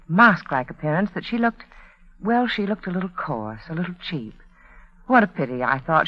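A woman reading a scripted 1940s radio advertisement as announcer, in a confiding, dramatic storytelling manner. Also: fairly low pitch. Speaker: commercial announcer. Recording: dull, band-limited old radio recording.

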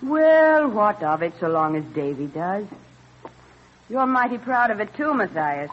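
A woman's voice: mid-range voice, big pitch swings, measured pace. A woman playing a doting, teasing farm mother in an old-time radio drama. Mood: firm, coaxing. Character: doting, teasing farm mother who stands her ground. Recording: dull, noisy vintage radio recording.

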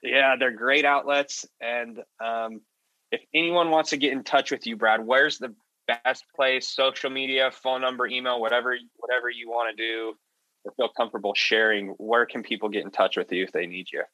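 Bright-voiced male podcast host, dry close sound. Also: mid-range voice, steady tone, moderate pace, dry recording, highs cut off. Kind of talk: casual conversational podcast wrap-up.

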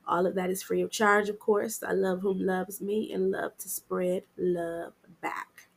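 A woman's voice: mid-range voice, level tone, measured pace, creaky, husky. A woman hosting a casual TV recap podcast, talking to her listeners in a chatty, slangy, upbeat way while getting over a cold. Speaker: podcast host.

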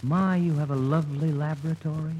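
A man's voice: on the high side, fairly even intonation, fairly quick, darkish tone, natural voice. A man playing a mild, polite, quirky scientist professor with courteous, precise delivery in old radio comedy. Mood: polite, pleased. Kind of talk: 1940s radio comedy acting. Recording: dull old radio recording, faint noise.

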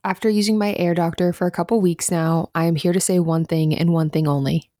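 A woman's voice: on the low side, fairly even intonation, a little fast, dark tone, dry recording, clean studio sound. A woman podcast host reading a scripted sponsor spot in a chatty, personal style.

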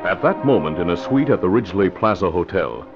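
A man's voice: deep voice, animated, a little fast, over loud music. A man announcing and narrating in an emphatic, theatrical vintage radio style. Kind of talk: 1950s radio announcing, dramatic scripted narration. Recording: noisy, dull vintage radio recording.

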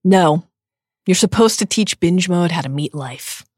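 Earnest, animated woman podcast co-host. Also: on the low side, dry recording, clean studio sound. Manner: earnest and emphatic, reads listener tallies with drawn-out stress. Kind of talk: heartfelt podcast chat.

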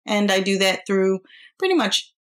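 A woman's voice: medium pitch, very animated, normal speed. An American woman in her fifties from New York, talking as a guest speaker in a casual, conversational interview. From New York, United States. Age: 50s.

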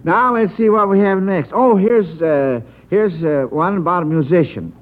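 A man's voice: sits high, moderate pace, phone-quality sound, a little hiss or hum. A man hosting an old-time radio quiz show in a jovial, folksy, chatty manner. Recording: band-limited old radio broadcast.

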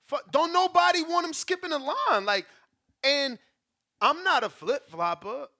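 A man with a high, bright, sharp-edged voice talks boxing in a loose, slangy show chat. He argues with animation. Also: lively intonation, a little slow. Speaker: podcast co-host.

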